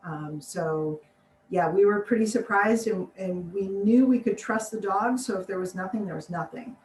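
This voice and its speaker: A woman's voice: medium pitch, moderate pace, darkish tone. American woman, scientist guest on a video call, chatty and laugh-prone; roomy, distant pickup. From United States. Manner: chatty, laughs often, acts out quotes. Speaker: biologist guest. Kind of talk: casual interview answers. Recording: distant roomy mic with faint noise.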